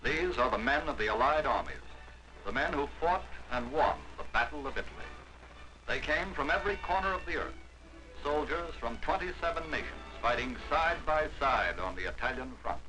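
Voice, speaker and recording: A man narrating a 1940s wartime documentary in a measured, declamatory newsreel manner. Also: medium pitch, expressive, music in the background, low-quality recording.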